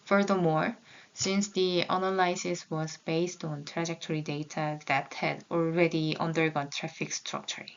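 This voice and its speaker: A woman's voice: low voice, little pitch movement, normal speed, creaky, smooth. A woman whose first language is Korean, presenting research results in English, reading carefully with small halts and restarts, on a slightly dull recording. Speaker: graduate student presenting research.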